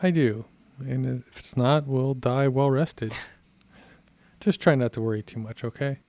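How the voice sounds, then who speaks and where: A man acts a stoic young fugitive in an audio drama. He is understated, wry and soothing, with a mid-pitched voice in a dry, close recording. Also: measured pace, warm tone, no room sound, close to an everyday voice. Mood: reassuring. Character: easygoing, stoic injured young fugitive, reassuring.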